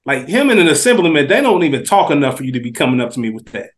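American man, higher-pitched, very quick, slangy, repetitive for emphasis, casual podcast chat. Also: big pitch swings. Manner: slangy, repeats phrases for emphasis. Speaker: podcast co-host. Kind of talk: casual TV recap discussion.

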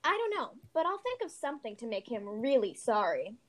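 A woman's voice: a little high, sing-song, brisk, a bit bright. A woman playing a spirited, dramatic schoolgirl in an amateur radio-style play. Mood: determined. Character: Molly, indignant wartime American schoolgirl, vengeful, dramatic. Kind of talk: amateur reader's theater podcast.

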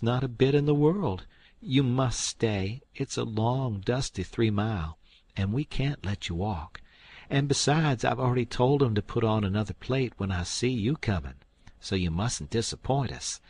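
A man voicing a fussy, quick-tempered elderly farm wife from the South, shrill and scolding. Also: darkish tone, slightly rough. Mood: coaxing.

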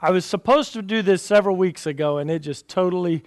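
A man's voice: high-pitched, fast talker. A man leading his congregation as pastor, praying and making announcements in an earnest tone. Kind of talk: pulpit prayer and announcements.

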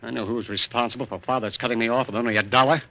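A man's voice: medium pitch, fairly even intonation, rapid-fire, natural voice. A man acting in a 1940s radio drama as an embittered, vengeful prospector, menacing and melodramatic. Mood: guarded, bitter. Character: embittered prospector brother, vengeful, threatening as he dies. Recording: dull, lo-fi old radio recording.

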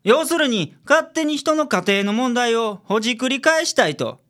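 A woman's voice: a little high, big pitch swings, normal speed, a bit bright. A woman playing a weary, blunt middle-aged male detective with a Kansai accent in a community radio drama, talking in a dry, exasperated way.

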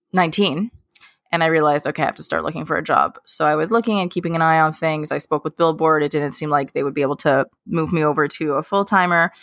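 A woman's voice: sits low, fairly bright tone, no room sound, clean studio sound. A woman in her late twenties, talking as a guest in a casual interview, chatty and rambling with lots of fillers and restarts. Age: late 20s. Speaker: guest, K-pop journalist.

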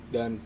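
A young Javanese man co-hosting a casual podcast, slangy and swearing often. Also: background noise. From Java. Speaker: podcast co-host. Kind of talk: casual chat.

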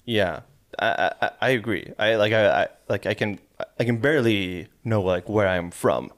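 A man with an American accent and a fairly low voice chats casually as a podcast co-host. He talks in a laid-back way and slips in crude deadpan jokes. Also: normal speed. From raised partly in Malaysia and Sweden. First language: English. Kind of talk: casual chat.

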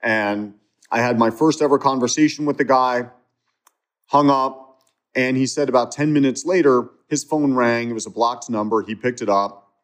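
A man's voice: mid-range voice, normal speed. Male interview guest, mid-range voice, casual conversational storyteller, lots of fillers and restarts. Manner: conversational, many fillers and restarts, animated retelling.

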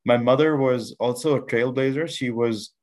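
A man from Pakistan in his thirties, a podcast guest telling his life story in a measured way with many restarts. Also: medium pitch. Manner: measured, with frequent restarts and fillers. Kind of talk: reflective storytelling interview.

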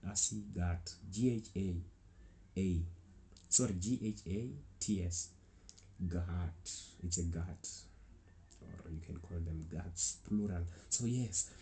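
A man's voice: sits low, dark tone. A young man from South Africa with a South African accent, telling a rambling travel story in a casual way. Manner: rambling, restarts often, chuckles. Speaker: travel vlogger.

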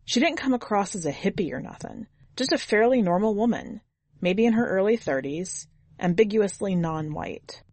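A woman's voice: medium pitch, expressive, normal speed, darkish tone, no echo. A woman reading a scripted short story as a podcast narrator. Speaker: podcast fiction reader.

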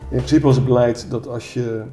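A man's voice: mid-range voice, fairly even intonation, brisk, dark tone, soft background music. A man explaining in a spontaneous interview, speaking as an expert.

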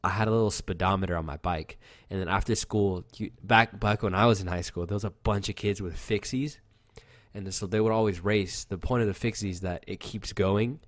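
Low-voiced young man rambling casually. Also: fairly even intonation, a little fast, no room sound, studio quality. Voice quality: sounds youthful, like a teenager. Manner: rambling, loose storytelling with lots of fillers and swearing. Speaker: podcast co-host. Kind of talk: casual chat.

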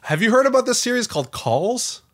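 A man's voice: extremely high pitch, big pitch swings, brisk. A man co-hosting a podcast in casual conversation, rambling as he goes and often doubling back to rephrase or repeat himself. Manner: rambling, frequent self-corrections and repeated phrases. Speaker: podcast co-host.

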